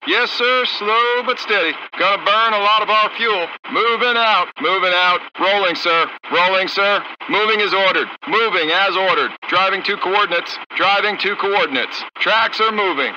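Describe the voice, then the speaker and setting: An actor voicing a gung-ho American tank crew commander in a strategy game, terse and brisk, through a military radio filter. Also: male voice, high voice, sharp tone. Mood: brisk, dutiful. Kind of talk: clipped military unit voice lines in a strategy game. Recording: dull radio sound with faint noise.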